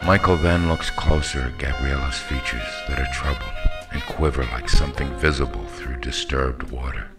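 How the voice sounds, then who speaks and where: Very deep-voiced man narrating literary fiction over music. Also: moderate pace. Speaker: audiobook narrator.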